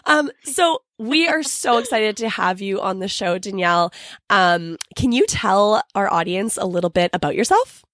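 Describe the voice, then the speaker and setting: A woman hosting a podcast interview, hoarse from a cold, bubbly and enthusiastic, laughing often. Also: mid-range voice, lively intonation, moderate pace, a bit bright. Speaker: podcast host.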